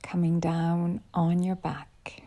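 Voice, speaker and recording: A woman guiding a yoga nidra meditation as a teacher, with soft, breathy phrase endings and unhurried pauses. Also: on the low side, flat delivery. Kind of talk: guided meditation.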